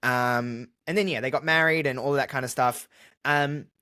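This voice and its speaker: A man's voice: mid-range voice, fairly even intonation, moderate pace, sharp tone. A man with an Australian accent talking candidly and casually as a podcast guest, with a jokey, self-deprecating streak. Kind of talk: casual conversational interview.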